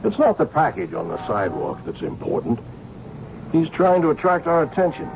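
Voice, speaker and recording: A shrewd, seasoned inspector recounts and works his case with wry composure. His pitch moves freely and has some fry in it, on a dull vintage radio drama recording. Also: huge pitch swings, moderate pace, background noise, exaggerated voice. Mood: watchful, decisive. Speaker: actor. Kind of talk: radio drama acting with first-person narration. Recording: dull old radio broadcast.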